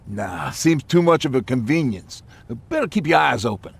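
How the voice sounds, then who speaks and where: A man playing a cautious, practical repairman survivor in a video game, with a gravelly voice. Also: mid-range voice, lively intonation, normal speed. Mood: wary, practical.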